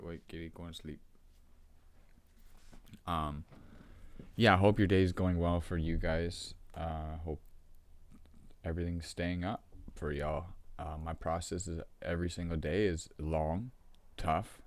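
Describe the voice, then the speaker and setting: Rough-throated man rambling to camera. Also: deep voice, steady tone. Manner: rambling, halting, many ums and restarts. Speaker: vlogger. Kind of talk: casual talk to camera.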